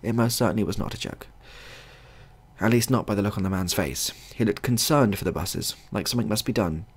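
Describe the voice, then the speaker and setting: A man narrating a scripted comic short story as a storyteller, in a husky, gravelly voice. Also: lowish voice, brisk.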